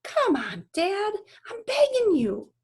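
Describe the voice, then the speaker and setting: An earnest young girl from a children's storybook, voiced by a woman reader. She pleads and insists eagerly, full of big ideas, in a raised, unhurried voice. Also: extremely high pitch, huge pitch swings, a little mellow, voice pushed up, exaggerated voice. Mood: pleading, eager. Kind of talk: children's novel read-aloud.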